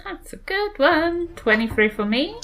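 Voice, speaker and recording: A woman's voice: high voice, sing-song, a little slow, fairly bright tone, soft background music. A woman with a New Zealand accent, chatting casually and playfully as a player in a tabletop game. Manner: playful, giggly. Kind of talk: casual chat.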